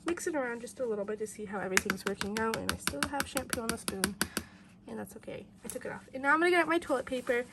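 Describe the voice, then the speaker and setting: A bubbly young woman with a congested, stuffy head-cold voice talks quickly through a home tutorial. Her voice sits fairly high. A loud air conditioner hums behind her in a bedroom. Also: expressive. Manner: bubbly, upbeat, repeats "you guys". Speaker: toy and slime channel host. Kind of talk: casual tutorial vlog. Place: bedroom, indoors. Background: air conditioner noise.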